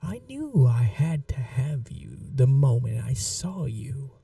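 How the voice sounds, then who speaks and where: A woman playing an aristocratic, possessive vampire mistress in a teasing, quietly menacing, breathy, intimate ASMR roleplay, often dropping to a whisper. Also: a bass voice, sing-song, dry recording, recorded from a distance, exaggerated voice. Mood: possessive, brooding. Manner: slow, languid, condescendingly affectionate. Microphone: close microphone, dry.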